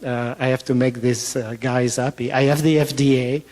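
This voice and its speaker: A man's voice: medium pitch, steady tone, normal speed, a little mellow. A man with a French accent giving a medical conference talk, hesitant with frequent fillers and restarts. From France. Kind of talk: conference presentation. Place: conference hall. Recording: long reverb of a hall.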